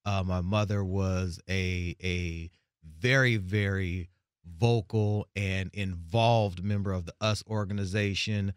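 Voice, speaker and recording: A man in his forties, born in Tanzania, telling his story in a relaxed podcast chat. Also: sits low, slow, clean tone, no echo, clean studio sound. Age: 40s. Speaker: executive producer, guest.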